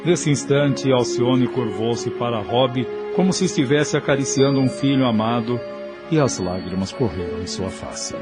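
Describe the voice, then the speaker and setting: Male narrator, Brazilian accent, medium pitch, dramatic audiobook storytelling, soft music bed. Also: moderate pace.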